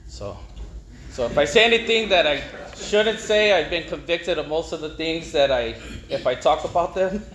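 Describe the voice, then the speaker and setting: A middle-aged man gives an informal personal testimony, rambling and haltingly repeating words. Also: a little high, animated, fairly bright tone. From East Los Angeles, United States. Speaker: speaker at a recovery meeting. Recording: echoey room with faint noise.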